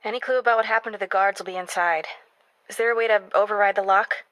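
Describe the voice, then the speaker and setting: An actress playing a sharp, determined young American investigative reporter in a video game, speaking in a wry, brisk, conversational way as if on the phone. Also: female voice, medium pitch, fairly even intonation, dry recording, lightly acted. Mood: businesslike. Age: young adult.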